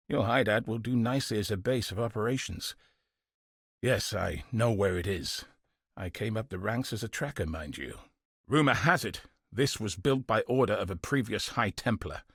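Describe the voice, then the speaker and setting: Fantasy game soldier, husky, forceful, gruff, commanding, earnest, close dry sound. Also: male voice, lowish voice, dry recording, full character voice. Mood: determined, briefing. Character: gruff, dutiful veteran Templar soldier and tracker, a man. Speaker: video game character. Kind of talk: scripted video game dialogue.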